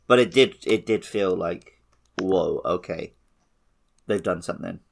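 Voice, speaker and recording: A man's voice: sits low, unhurried, vocal fry, a little hiss or hum. A man co-hosting a casual podcast conversation about video games. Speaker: podcast host. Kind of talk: casual video game discussion.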